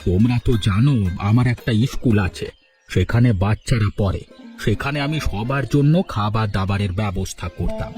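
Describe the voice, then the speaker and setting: A man voicing a gentle, low-voiced old teacher. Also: moderate pace, soft background music, close to an everyday voice. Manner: gentle, calm, pleading. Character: patient, humble old village schoolteacher. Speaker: old village schoolteacher. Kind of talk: cartoon voice acting.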